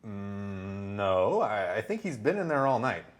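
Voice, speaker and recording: A woman acts as a deferential assistant on a film crew in an audio drama. Her voice is extremely low, swooping widely as she hesitates. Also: sing-song, measured pace, full character voice. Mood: hesitant.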